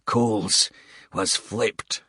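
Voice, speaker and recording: A man voicing a gruff, glowering male soldier who talks in blunt, clipped phrases. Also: lowish voice, steady tone, extremely slow, pushed and bright, no room sound, studio quality, pitched above the performer's own voice, heavily acted. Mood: grim.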